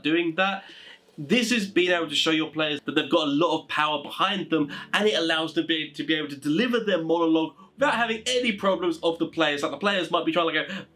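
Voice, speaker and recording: High-voiced man, chatty and rambling. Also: a bit bright. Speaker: host giving tabletop game advice. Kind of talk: informal advice talk.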